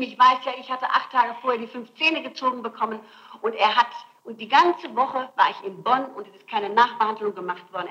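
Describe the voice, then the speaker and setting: A woman's voice: a little high, bright, forceful tone, highs cut off. A middle-aged woman under interrogation, answering with insistent, emphatic and repeated denials on an archival tape. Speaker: suspect being questioned by police and prosecutors. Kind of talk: interrogation testimony, spontaneous speech.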